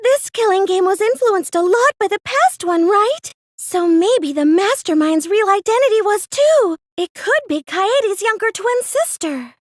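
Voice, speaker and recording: A very high, girlish anime game voice for a plain, earnest teenage girl, recorded close and dry. She comes across as meek and innocent, with a schemer hidden underneath. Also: expressive, a little slow, hardly any pauses, dry recording. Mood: excited, speculating. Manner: hesitant and stammering when pressed, earnest, girlish. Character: meek, plain teenage girl cosplayer, secretly a gleeful mastermind. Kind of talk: anime video game dub acting.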